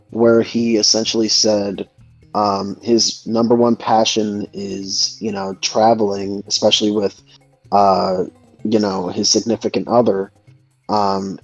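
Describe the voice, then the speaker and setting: A man co-hosting a casual discussion in a halting, hesitant way, on a lo-fi mic with music behind. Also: mid-range voice, darkish tone, dry recording. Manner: hesitant, halting delivery with frequent ums and repeats. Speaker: co-host. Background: background music.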